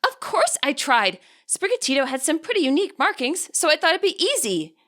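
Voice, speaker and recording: Woman voicing a guarded, upset teenage girl, high-pitched. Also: big pitch swings, fairly quick, a bit bright. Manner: weary, defensive, holding back tears.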